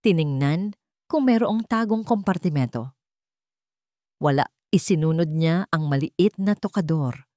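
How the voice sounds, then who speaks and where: Low, calm woman narrating a story. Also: a little slow, pauses often, dry recording, studio quality.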